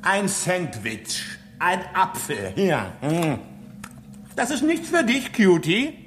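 Grumpy sarcastic space tech in a radio play, swoopy intonation, noisy ambience behind. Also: male voice, high-pitched, big pitch swings, slow pace, fairly bright tone, full character voice. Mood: mocking. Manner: sardonic, blunt. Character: grumpy, sarcastic human space station engineer. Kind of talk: radio drama acting. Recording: clearly noisy background ambience.

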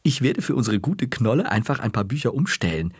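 Man playing a boastful mischievous big brother, lively, scheming, storybook reading. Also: mid-range voice, a little fast, breathy edge, dry recording, clean studio sound, heavily acted. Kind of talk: audiobook reading.

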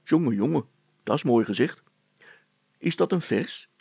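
Storybook villager, played by a male reader: folksy, easily impressed, plain-spoken, with a creaky voice. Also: mid-range voice, lively intonation, unhurried, darkish tone, no echo, pitched above the performer's own voice, heavily acted. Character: grumbling but good-natured village man, easily impressed. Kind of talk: acted storybook dialogue.

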